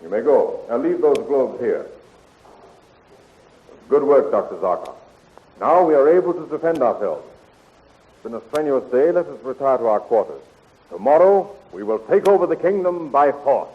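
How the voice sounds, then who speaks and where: Man playing a bold radio space hero, animated. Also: medium pitch, normal speed, pauses often, close to an everyday voice. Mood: decisive. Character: bold young male space hero turned king, commanding. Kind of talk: 1930s radio adventure serial acting. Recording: muffled, hissy 1930s radio recording.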